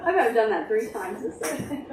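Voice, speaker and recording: High-voiced woman leading preschool storytime, roomy sound. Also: lively intonation, measured pace, background noise. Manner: simple repeated prompts and questions to children. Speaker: preschool storytime leader at a library. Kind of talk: interactive instruction to small children. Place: indoor library storytime room. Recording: roomy, distant mic, music in background.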